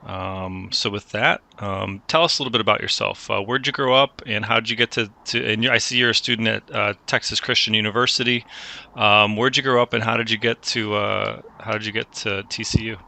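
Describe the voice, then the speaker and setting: A man hosting a career advice chat, coaching a student in a relaxed way and often restarting his phrases. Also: on the low side, fairly quick, creaky. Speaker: host giving career advice. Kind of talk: conversational mentoring chat.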